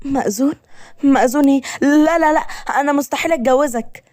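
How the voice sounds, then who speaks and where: Woman playing young Egyptian woman, high pitch, fearful, mouthy, stammering pleas, indignant, storytelling, dry close sound. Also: expressive, quick pace, dark tone, dry recording, lightly acted. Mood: panicked indignation. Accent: Egyptian Arabic.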